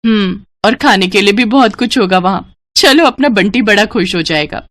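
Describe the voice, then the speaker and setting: A woman's voice: medium pitch, expressive, moderate pace, fairly bright tone, lightly acted. A woman voicing an eager, attentive young village wife in a children's moral story.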